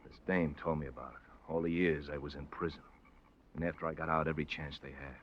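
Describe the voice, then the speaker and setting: An actor playing a bitter, cornered ex-convict and wife killer, halting and rough in speech, in a 1950s radio crime drama. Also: male voice, very deep voice, fairly even intonation, slight background noise. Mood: bitter resignation. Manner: halting, rough colloquial grammar. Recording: muffled vintage radio recording.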